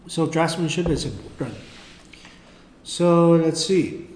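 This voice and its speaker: A man whose first language is Mandarin, giving an unscripted drawing lesson in a halting way, with many fillers and restarts. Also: fairly high pitch, animated, darkish tone. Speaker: art teacher. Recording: roomy echo, faint background noise.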